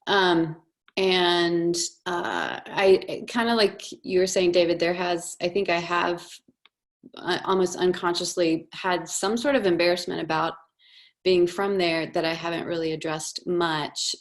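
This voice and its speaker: A woman with a Southern US accent, hosting a casual conversation and speaking haltingly, with many fillers and restarts. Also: lowish voice, steady tone. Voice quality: soft, honeyed voice. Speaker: webinar host.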